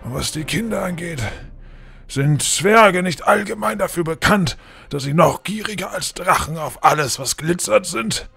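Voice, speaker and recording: A man's voice: high-pitched, expressive, moderate pace, vocal fry, a bit bright, slightly rough, soft background music, exaggerated voice. A man playing a scheming, cruel old lord in a fantasy audio drama, with a pompous, sneering delivery. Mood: calculating.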